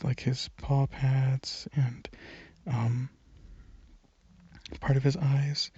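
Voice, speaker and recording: Male ASMR creator, very breathy and near-whispered, low-key flat delivery, halting casual rambling, dry close mic. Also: dry recording, muffled recording. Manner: hesitant, halting, informal.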